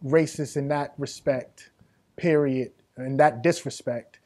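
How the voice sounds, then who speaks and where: A man's voice: medium pitch, fairly even intonation, dark tone. A man hosting a podcast, talking casually and backing up his guest with short agreements. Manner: affirming interjections, slow deliberate phrasing. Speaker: podcast host.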